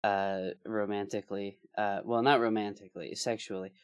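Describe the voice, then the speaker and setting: A man's voice: on the low side, normal speed, clean tone. A man co-hosting a podcast in casual comedic chat, making bawdy jokes and laughing often. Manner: animated, bawdy joker who laughs often. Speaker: podcast co-host.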